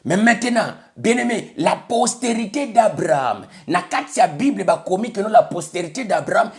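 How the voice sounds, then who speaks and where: A man's voice: sits high, lively intonation, normal speed. Congolese preacher man, emphatic sermon. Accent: Congolese French. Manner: emphatic, repeats "bien aimé le Seigneur", switches between French and Lingala.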